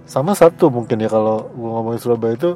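A man from West Java co-hosting a casual podcast, easygoing and quick to chime in with agreeing murmurs. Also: medium pitch, dark tone, soft background music. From West Java, Indonesia. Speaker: podcast co-host. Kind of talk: casual chat.

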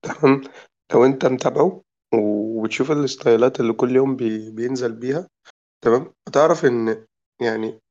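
A man's voice: medium pitch, brisk, a little mellow, dull audio. A man with an Egyptian accent and a cold that has worn down his voice. He explains his point at length in a casual group discussion and keeps checking that his listeners follow him. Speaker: product designer in a group chat.

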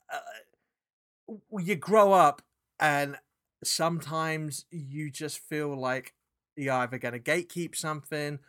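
A man with a British accent mixed with New Zealand and South African traces, co-hosting a podcast in animated, sweary casual chat. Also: on the high side. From England. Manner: animated, swearing, trailing restarts. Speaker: podcast co-host.

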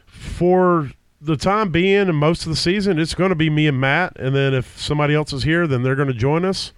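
A man hosts a casual podcast with a slightly congested, stuffy-nosed sound from a cold. His voice is smooth and resonant at an everyday pitch, close on the mic in a dry, dead basement. He rambles along with plenty of fillers and false starts. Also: normal speed, no room sound. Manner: rambling with frequent fillers and restarts. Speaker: podcast host. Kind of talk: casual chat. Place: home basement. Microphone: podcast microphone.

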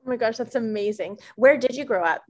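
A woman hosting an interview, asking questions and answering with quick, encouraging affirmations. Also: a little high. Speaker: podcast host.

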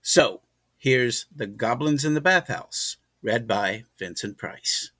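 A man's voice: medium pitch, lively intonation, takes it slowly, bright, forceful tone, no echo. A man hosting a podcast, casually introducing a segment in a close, dry recording. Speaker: podcast host.

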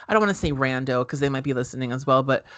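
A man co-hosting a casual podcast, talking in an expressive, animated way and laughing often. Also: mid-range voice, racing, dry recording. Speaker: podcast co-host. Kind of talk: casual chat. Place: indoors.

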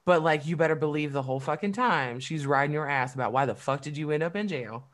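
A woman's voice: sits low, quick pace. A woman co-hosting a podcast in a casual, profane, emphatic chat, often breaking into laughs. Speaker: podcast co-host.

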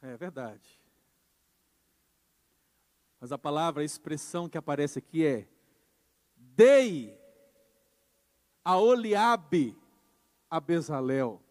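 A man pastor preaching a sermon with emphasis, moving between quiet passages and loud insistent ones. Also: fairly high pitch, lively intonation, takes it slowly, long pauses. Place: church. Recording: church hall with long reverb.

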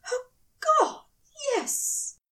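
A woman voicing a tender, yearning male angel in an audio fiction reading, with a breathy, strained, pleading delivery. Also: extremely slow, sharp tone, recorded from a distance. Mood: breathless desire.

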